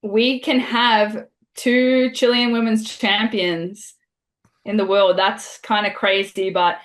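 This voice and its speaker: A young woman from Australia answering interview questions in a relaxed, chatty way, with many fillers. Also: mid-range voice, slow. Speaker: interview guest.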